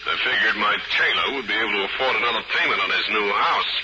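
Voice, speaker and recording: An actor playing a wisecracking, hard-boiled private detective in a 1950s radio crime drama, with a wry, deadpan delivery. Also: male voice, on the low side, fast talker, natural voice. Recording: very noisy old 1950s radio recording.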